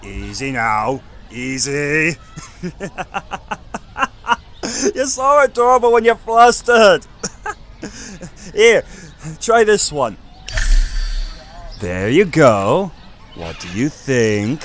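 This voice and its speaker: A man's voice: fairly high pitch, very animated, very slow, long pauses, a bit bright, exaggerated voice. A man playing a playful, affectionate archangel big brother in an audio drama, with a theatrical, teasing manner. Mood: playful, doting. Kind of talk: audio roleplay drama acting.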